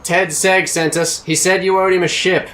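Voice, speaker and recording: A man playing a wary, jittery but bossy space pilot with an expressive, wisecracking manner in an audio drama. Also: sits high, normal speed. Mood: brisk. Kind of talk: scripted audio drama acting.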